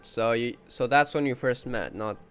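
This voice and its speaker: A man's voice: medium pitch, normal speed. A man giving casual playthrough commentary on a video game, informally thinking aloud. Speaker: gaming video maker reacting to a game. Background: soft game music.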